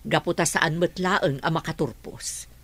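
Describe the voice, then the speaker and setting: A woman's voice: low voice, unhurried, fairly bright tone, heavily acted. A woman playing a caring, worried middle-aged mother in a radio drama.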